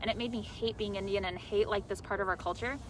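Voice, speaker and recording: A woman's voice: mid-range voice, fairly even intonation, quick pace, distant mic. A chatty young woman in her twenties talks casually, often laughing and leaning on "like". She sounds set back from the mic, outdoors in a city with faint traffic behind her. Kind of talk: casual conversation.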